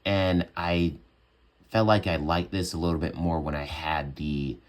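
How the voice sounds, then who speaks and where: Deep-voiced young man, chatty and relaxed. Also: measured pace. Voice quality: bassy voice. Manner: relaxed, chatty, many fillers. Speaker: home music producer. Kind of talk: casual tutorial walkthrough. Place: small home studio room.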